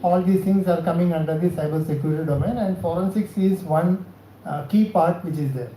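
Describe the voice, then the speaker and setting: A man with a South Indian accent giving a technical training lecture, speaking haltingly and repeating himself as he checks with his listeners. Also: a little high, dark tone. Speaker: cybersecurity scientist giving a training session. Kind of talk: spoken lecture to a class. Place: indoor college session room. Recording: echoey room, faint background noise.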